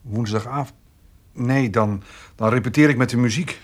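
An actor playing a hesitant, plain-spoken, good-natured farmer in a naturalistic radio drama, with a husky voice. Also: male voice, medium pitch, normal speed, natural voice.

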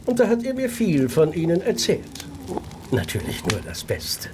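An actor playing an insinuating, quietly menacing gentleman in a radio play, drawling suggestively. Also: fairly high pitch, wildly swooping pitch, noisy background. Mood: smug, teasing. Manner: slow, drawling, suggestive delivery. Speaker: actor in an audio drama. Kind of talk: German radio play acting.

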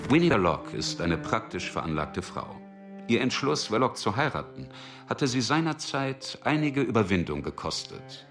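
A man's voice: lowish voice, big pitch swings, moderate pace. A man giving composed, explanatory scripted narration in a radio drama. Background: guitar music.

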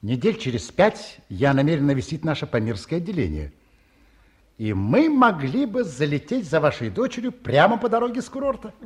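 An actor playing an ageing, distinguished and sentimental scientist, with a husky voice and theatrical 1950s Soviet radio play delivery. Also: male voice, fairly high pitch, sing-song, a little mellow. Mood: courteous, formal. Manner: theatrical, emotional, deliberate.

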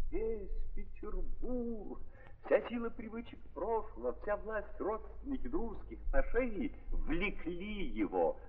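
A man narrating a 1930s radio drama in an impassioned theatrical style, with heavy pauses and repeated phrases. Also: high-pitched, slightly rough. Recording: muffled, echoing 1930s archive recording.

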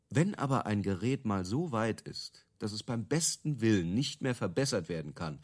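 A brash marketing creative in a radio play. His delivery is highly animated, swinging up and down as he makes emphatic, self-assured pronouncements. He sounds opinionated and quick to dismiss objections. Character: brash, self-assured male product-marketing creative selling gadget stories. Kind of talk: radio drama dialogue in interview form.

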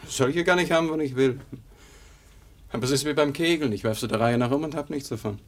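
A man's voice: mid-range voice, quick pace, natural voice. An actor playing a boastful, easygoing young womaniser talking colloquially with his brother in a 1950s German radio play. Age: young adult.

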